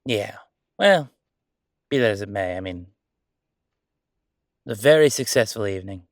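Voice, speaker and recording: A man playing a friendly, mischievous ghost roommate in a casual roleplay audio drama, talking in an excitable, joking, conversational way. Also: lowish voice, a little slow, no echo, clean studio sound. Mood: chatty, relaxed. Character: friendly male ghost roommate, playful, mischievous, chatty jokester.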